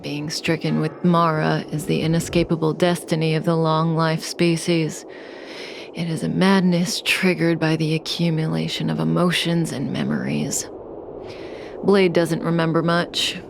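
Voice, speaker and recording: An actress playing a calm, enigmatic, seductive woman in a video game cutscene, speaking deliberately and with assurance. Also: low-pitched, gravelly, background noise. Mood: grave, explanatory.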